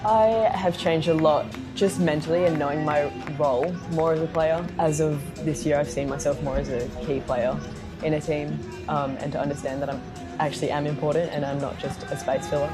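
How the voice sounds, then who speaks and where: A woman's voice: low-pitched, steady tone, fairly quick. A young woman with an Australian accent, a basketball player talking casually about herself in an interview. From born in London, raised in Singapore and Australia. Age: young adult. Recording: music behind the voice. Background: music.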